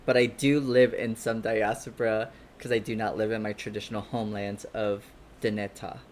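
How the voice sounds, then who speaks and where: A man's voice: on the low side, little pitch movement, normal speed, a little hiss or hum. A young man in a casual podcast discussion who thinks aloud, stopping to correct and rephrase himself. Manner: thoughtful, corrects and rephrases himself mid-thought. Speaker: podcast panelist.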